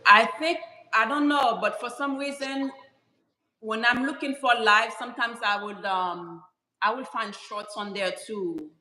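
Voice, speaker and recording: A woman's voice: a little high, measured pace, sharp tone. A woman from Haiti, a guest YouTuber, chatting casually in a rambling way full of fillers over a video call. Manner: rambling, full of "like" and "you know". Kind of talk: casual live-stream chat. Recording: echoey room with faint hiss.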